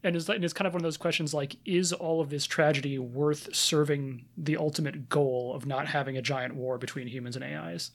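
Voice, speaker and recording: A man with a somewhat high voice and noticeable vocal fry speaks as a podcast co-host. His delivery is conversational, like someone discussing and recommending things among fellow hosts. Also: steady tone, a little fast, hardly any pauses, a bit bright. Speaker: podcast co-host reviewing anime. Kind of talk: casual review discussion.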